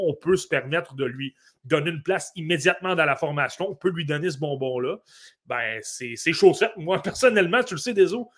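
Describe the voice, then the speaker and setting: A man with a Québécois accent co-hosting a sports podcast, giving animated analysis with frequent fillers and restarts. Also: on the high side. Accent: Québécois French. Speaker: podcast co-host. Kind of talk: casual sports podcast talk.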